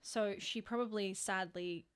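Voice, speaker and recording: A woman with an Australian accent and a mid-pitched voice co-hosts a true crime podcast. She narrates like someone telling friends a story, informal and happy to swear. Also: steady tone, measured pace, smooth.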